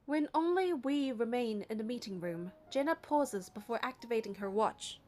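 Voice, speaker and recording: A woman's voice: fairly high pitch, animated, normal speed, warm tone, a little hiss or hum. A woman reading scripted first-person story narration for a game playthrough.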